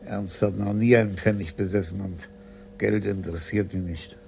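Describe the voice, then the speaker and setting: An actor playing a shrewd, sober senior police commissioner in a 1980s German radio crime play. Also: male voice, fairly low pitch, fairly even intonation, moderate pace, creaky, warm tone, slight background noise. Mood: pensive.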